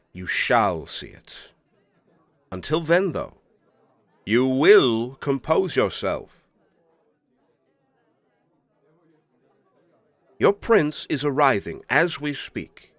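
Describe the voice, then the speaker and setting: A man's voice: mid-range voice, sing-song, slow, a bit bright, no echo, heavily acted. An actor playing a stern, imperious older king in an audio roleplay drama, speaking formally and with weighty dramatic emphasis. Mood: stern, commanding.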